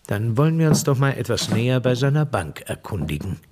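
An actor playing a brisk, methodical police inspector in a radio drama, deliberate and probing. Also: male voice, mid-range voice, sing-song, darkish tone, a little hiss or hum, lightly acted. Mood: musing. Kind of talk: radio play acting.

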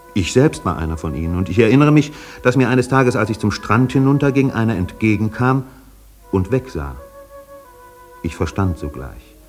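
An actor playing a grave, reflective narrator of an island tale, measured and lyrical, in a literary radio play. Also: male voice, deep voice, darkish tone, close to an everyday voice.

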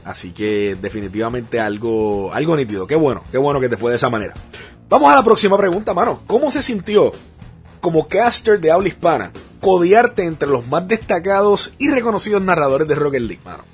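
A man's voice: fairly high pitch, expressive, fairly quick, husky, soft background music. Chatty man hosting an interview. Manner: friendly, chatty, calls the guest "mano". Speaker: podcast host, interviewer. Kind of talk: casual podcast interview.

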